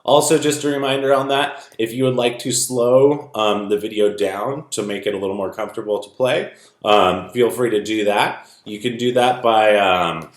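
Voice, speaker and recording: A man's voice: on the low side, normal speed. A man speaking as a music teacher, informally explaining things to young students in a video lesson and read-aloud. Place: indoor room at home. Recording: roomy indoor echo.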